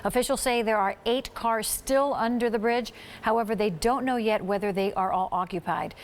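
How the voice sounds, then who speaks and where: A woman's voice: on the high side, normal speed. A middle-aged woman anchoring TV news from a studio, reading scripted copy.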